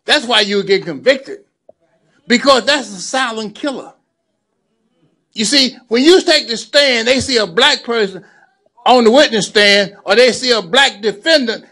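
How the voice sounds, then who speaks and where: A man's voice: very high voice, animated, unhurried, sharp tone. A man in his seventies giving a speech to a gathering, preacherly and repeating phrases for emphasis. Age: 70s. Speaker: lawyer and community activist.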